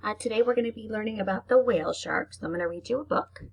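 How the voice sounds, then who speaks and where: Female primary teacher, children's read-aloud lesson, raspy with some vocal fry, simple child-directed delivery. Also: mid-range voice. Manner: simple, child-directed explaining, slow deliberate reading alternating with chatty asides. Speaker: elementary teacher reading to pupils.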